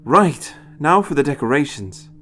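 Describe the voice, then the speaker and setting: Man voicing a brisk, practical woman, pitched up. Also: big pitch swings, a bit bright, a little hiss or hum. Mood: excited. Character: warm, practical, snarky adult woman companion. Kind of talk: dramatized storybook reading.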